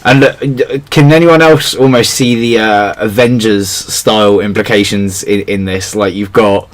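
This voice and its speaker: A man's voice: lowish voice, steady tone, a little slow. A man hosting a podcast in a casual discussion, giving his opinions in an analytical way. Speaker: podcast host.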